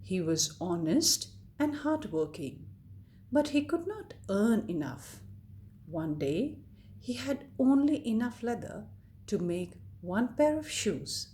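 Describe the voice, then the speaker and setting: A woman storyteller reading a scripted fairy tale to children in a deliberate, expressive way. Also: medium pitch. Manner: deliberate, expressive read-aloud delivery addressed to children.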